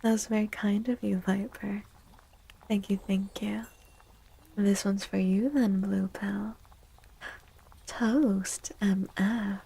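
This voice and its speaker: A woman hosts a tarot stream in a gentle, whispery ASMR voice. Her tone is mellow and dark, with a noticeable vocal fry. She takes her time over each phrase, close on the mic. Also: mid-range voice, fairly even intonation, poor audio. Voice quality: breathy, often dropping into a whisper. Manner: gentle, slow, drawn-out phrasing. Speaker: livestream host doing tarot readings. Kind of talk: soft-spoken ASMR, chatting to viewers. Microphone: close microphone.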